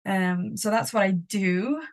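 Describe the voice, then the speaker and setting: A woman's voice: medium pitch, fairly even intonation, slow, creaky. A young woman from Sweden, a spoken word poet, talking casually as a podcast guest with lots of fillers and restarts. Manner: chatty, lots of "like" and "um", frequent restarts. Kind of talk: casual interview talk.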